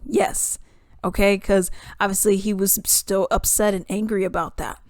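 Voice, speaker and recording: A woman talking casually about a fight, animated, jumping between loud emphasis and hushed asides. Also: medium pitch, normal speed, no echo, clean studio sound. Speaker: YouTube commentator. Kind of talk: casual commentary reading news and comments.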